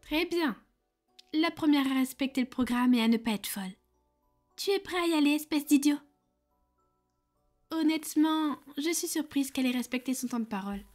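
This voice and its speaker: Woman as a high-pitched, bossy, brusque Cupid. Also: moderate pace, pauses often, darkish tone, soft background music, close to an everyday voice. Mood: impatient, mocking. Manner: curt, exasperated, teasing. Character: brusque, bossy, insult-slinging female Cupid matchmaker. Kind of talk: ASMR roleplay acting.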